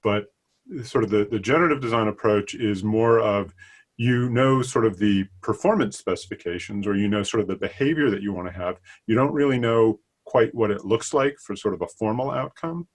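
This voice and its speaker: A middle-aged man giving casual, loosely phrased explanations as an interview guest. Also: lowish voice. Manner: loose, hedging with sort of and kind of. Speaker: guest expert, product line manager.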